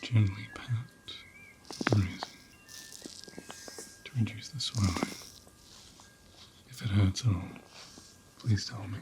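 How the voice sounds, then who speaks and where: A man playing a gentle, caring ram in an ASMR roleplay, speaking in a soft, breathy half-whisper with a soothing, tender manner. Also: lowish voice, fairly even intonation, slow pace, pauses often, very noisy. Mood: tender, attentive. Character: gentle, caring male ram tending an injured lamb.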